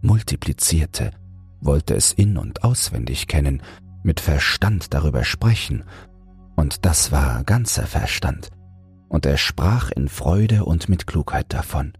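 A man's voice: rumbling low, lively intonation, takes it slowly, darkish tone, husky, soft background music. A man narrating a fairy tale as a scripted bedtime audiobook.

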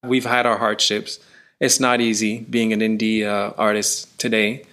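Animated Canadian musician telling stories. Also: male voice, on the low side, normal speed. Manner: animated storyteller, voice rising when excited. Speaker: musician guest. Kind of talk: casual interview.

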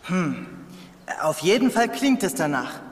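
A man's voice: fairly high pitch, big pitch swings, a little slow. A man playing a friendly, adventurous boy in a children's audio play, eager and encouraging. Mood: curious. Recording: long reverb.

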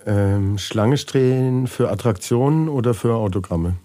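Mellow, soft-edged male podcast interviewer. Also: medium pitch, measured pace. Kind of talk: short quick-fire interview questions.

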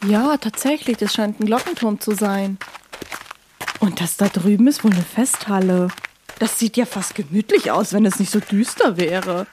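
A woman playing a chatty, excitable traveller in an audio drama, speaking colloquially with exclamations, over clear noise. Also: medium pitch, moderate pace, close to an everyday voice. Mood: awed.

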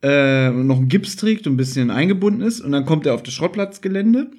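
A man's voice: fairly high pitch, expressive, fast talker, darkish tone, breathy edge. A man chatting casually as a podcast host, explaining things at length and often tagging on questions. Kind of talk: casual chat about audio dramas.

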